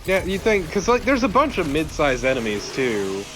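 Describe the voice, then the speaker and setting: A man banters casually as a game co-commentator. His voice sits a little high, with a wry delivery. Music plays faintly behind him. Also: huge pitch swings, moderate pace, fairly bright tone. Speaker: let's play co-commentator. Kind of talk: casual banter over gameplay.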